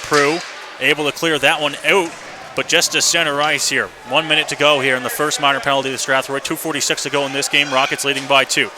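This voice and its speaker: A man's voice: a little high, level tone, a little fast. A man doing live radio play-by-play of a hockey game as the announcer. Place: indoor ice rink. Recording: noisy live arena radio broadcast. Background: loud rink ambience and noise.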